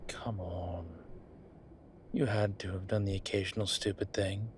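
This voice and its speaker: A man playing a wry, laid-back incubus demon professor in a roleplay audio drama, talking with breathy pauses and trailing sentences. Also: low voice, flat delivery, background noise. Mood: amused, teasing. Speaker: voice actor. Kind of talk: roleplay audio drama, one side of a conversation.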